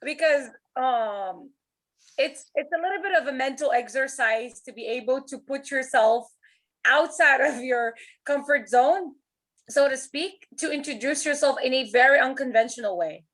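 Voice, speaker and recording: A woman's voice: high voice, normal speed, fairly bright tone, low-quality recording. A woman leading an online writing class on a video call, encouraging her students, laughing often and repeating herself as she talks.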